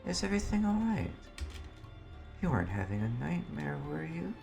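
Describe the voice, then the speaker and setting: A man puts on a graceful young actress in a game story, doting and formal, her tone lifted well above his deep, gravelly natural voice. She speaks softly and politely, with Japanese music running clearly under her words. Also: big pitch swings, normal speed. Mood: tender, concerned. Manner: soft, polite, formal speech. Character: elegant, gentle young woman actress, affectionate.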